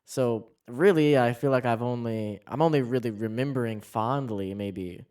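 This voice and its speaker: A man's voice: mid-range voice, moderate pace, darkish tone, smooth, distant mic. A man in his thirties chatting casually as a podcast co-host, musing with frequent restarts. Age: 30s. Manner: musing, talks in starts and restarts. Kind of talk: casual chat.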